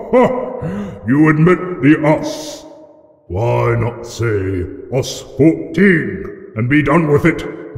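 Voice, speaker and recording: In a vast, long reverb, a huge ancient dragon speaks very slowly, with grand menace. He is a boastful, cunning taunter, pitched fairly low, from a fantasy audio drama. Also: darkish tone. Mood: mocking, insinuating. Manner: grandiose, taunting, theatrical delivery. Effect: added cavernous reverb. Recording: cavernous echo and long reverb effect.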